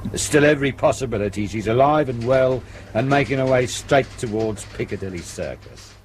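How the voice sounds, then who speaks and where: An actor playing a gruff, impatient British senior police detective giving orders in a radio drama. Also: male voice, mid-range voice, animated, brisk, a little hiss or hum, lightly acted, poor audio. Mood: commanding.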